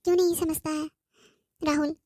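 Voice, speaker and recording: A woman's voice: sits high, flat delivery, normal speed, a little mellow, dry recording, studio quality, close to an everyday voice. A woman playing a sorrowful widowed mother of grown children in a cartoon moral story, lamenting plaintively.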